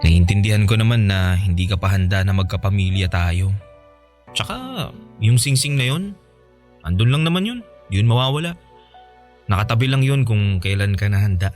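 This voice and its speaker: A man's voice: fairly low pitch, normal speed, pauses often, faint music behind, natural voice. A man playing a devoted, playful and somewhat needy young boyfriend in colloquial, naturalistic radio drama dialogue. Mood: hurt but understanding. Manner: expressive, colloquial.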